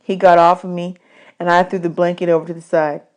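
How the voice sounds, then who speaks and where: A woman's voice: fairly low pitch, flat delivery, a little fast, a little mellow. Woman reading a children's novel aloud. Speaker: audiobook reader. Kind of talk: read-aloud storybook narration in a child's first-person voice.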